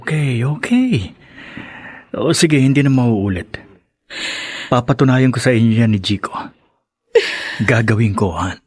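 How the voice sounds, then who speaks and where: An evasive young husband in a Filipino radio drama. His voice is breathy and dry, of medium pitch. He sounds apologetic and hesitant, always trying to smooth things over. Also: lively intonation, unhurried, pauses often, no room sound. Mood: placating. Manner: hesitant, placating.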